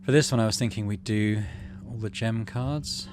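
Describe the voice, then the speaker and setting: A man's voice: fairly low pitch, moderate pace, background noise. A man hosting a game playthrough, reading story text aloud expressively and adding casual asides. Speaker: gaming video host.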